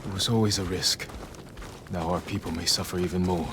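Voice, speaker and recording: Man acting a low-voiced, grave samurai warrior. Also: fairly even intonation, noisy background, natural voice. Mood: grave resolve. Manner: terse, solemn, measured. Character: grave, resolute male samurai warrior plotting battle. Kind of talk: video game cutscene acting.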